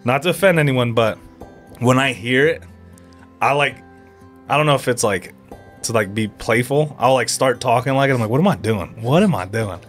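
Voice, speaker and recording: A young man from Erie, Pennsylvania, chatting casually as a podcast guest, animated and full of dude and bro. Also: medium pitch, normal speed, faint music behind. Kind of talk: casual chat.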